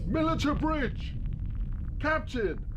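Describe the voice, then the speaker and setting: An actor playing a male first officer of a starship under attack, urgently shouting orders in an audio drama clip. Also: very high-pitched, lively intonation, slow pace, muffled recording, very noisy, exaggerated voice. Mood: alarmed, commanding. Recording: loud battle sound effects behind.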